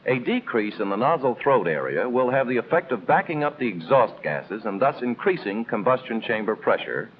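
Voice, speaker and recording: An American man reading scripted instructional narration in the style of a 1950s military training film, explaining in a measured, didactic way with clear emphasis. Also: medium pitch. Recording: tinny band-limited old film soundtrack, noisy.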